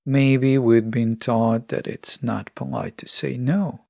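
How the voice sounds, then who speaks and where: A podcast host giving a scripted solo talk in a measured, instructive manner. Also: mid-range voice, fairly even intonation, creaky, darkish tone, dry recording, clean studio sound.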